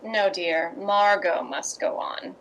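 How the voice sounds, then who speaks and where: A woman playing a poised, worldly, reassuring playwright's wife in 1950s radio drama style, wry and measured. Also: medium pitch, fairly even intonation, a bit bright, muffled recording, a little hiss or hum.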